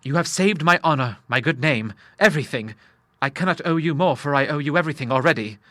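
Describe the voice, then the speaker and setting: Man reading as an earnest, intense young gentleman son. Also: a little high, animated, quick pace, no room sound, clean studio sound, pitched above the performer's own voice. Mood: grateful, sincere. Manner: earnest, emphatic, formal. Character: earnest, honourable grown son burdened by debt. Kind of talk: audiobook character voice.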